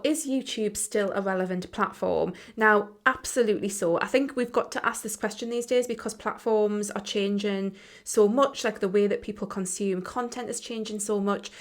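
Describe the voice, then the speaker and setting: Woman in her thirties, coach, chatty solo talk, blunt and casual. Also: mid-range voice. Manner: chatty, frank, swears casually. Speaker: business coach and podcast host.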